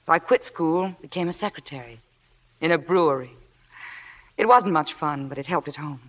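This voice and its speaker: An actress playing a demure, earnest young theatre fan, humble and polite, in an old radio drama. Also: female voice, deep voice, normal speed, fairly bright tone. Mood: wistful. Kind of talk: 1940s-50s radio drama acting. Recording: old radio broadcast recording.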